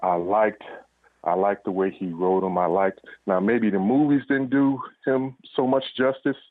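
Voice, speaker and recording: A man speaking as an interview guest over a phone-like line, explaining things in a measured, reflective, conversational way. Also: fairly low pitch, steady tone, no echo. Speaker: guest, police officer and author. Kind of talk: casual reflective interview talk. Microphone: phone or call line. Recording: tinny narrow phone-like line.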